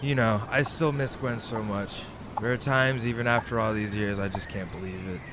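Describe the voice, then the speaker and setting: A man's voice: on the low side, fairly even intonation, moderate pace, noisy background, natural voice. A man playing an unhinged, grieving villain who swings between menace and tender pleading in a melodramatic audio drama. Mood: pleading, sorrowful. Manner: melodramatic, intense.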